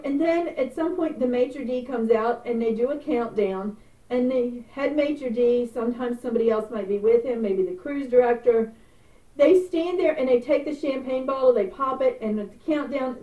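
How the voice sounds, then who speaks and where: A middle-aged woman talks through a travel vlog in a friendly, chatty way, explaining each step. Her voice sits a little high and comes across thin, like over a phone line. It also sounds distant from the mic, in a roomy space. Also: moderate pace. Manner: chatty, gestures with hands while explaining. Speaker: travel vlogger. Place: small indoor room before a purple curtain.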